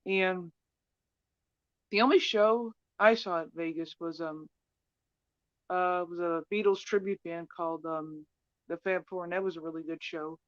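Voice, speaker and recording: A woman in her forties telling personal stories casually, in a halting, hesitant way. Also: fairly low pitch, no echo, muffled recording. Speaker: stream panelist.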